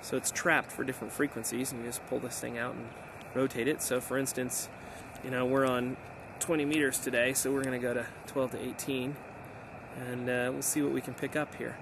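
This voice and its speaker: A man in a casual hands-on demonstration, talking in an unhurried, explanatory way as an amateur radio operator outdoors. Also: medium pitch, level tone, gravelly. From United States. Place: outdoors on a mountainside. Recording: noisy outdoor camera recording. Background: outdoor ambient noise.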